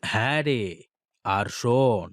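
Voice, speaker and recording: A man voicing a calm, solemn old holy man in a cartoon, making grave pronouncements. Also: medium pitch, slow pace, dry recording, pitched below the performer's own voice, lightly acted. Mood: solemn, prophetic. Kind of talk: Bengali cartoon voice acting.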